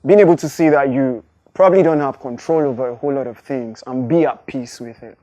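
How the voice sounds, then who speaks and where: A young man with a Nigerian accent whose first language is Igbo, answering interview questions in a thoughtful, unhurried way. His voice is mellow and warm, and it sits at a middling pitch for a man. He often pauses with fillers and restarts his sentences as he works through an idea. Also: lo-fi sound. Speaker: hip-hop artist.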